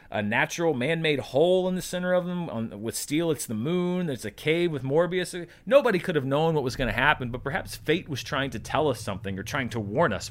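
A man with a slightly high-pitched voice hosts a commentary show. He talks in a casual, conversational way, like a presenter recapping news, and drops in wry asides as he goes. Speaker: podcast host. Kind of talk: casual box office commentary.

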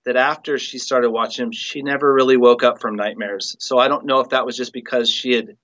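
A man's voice: medium pitch, fairly even intonation, fairly quick, a bit bright, dull audio. A man chatting casually and reminiscing as an interview guest. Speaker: guest, audio play writer and director.

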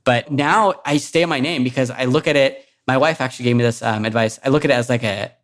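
A man being interviewed casually, talking in a halting, reflective way. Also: mid-range voice.

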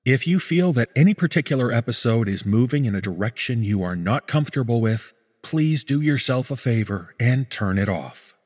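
A man reading scripted narration as a podcast host, in a measured, deliberate way. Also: mid-range voice, animated, a little mellow. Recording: music under the voice. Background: background music.

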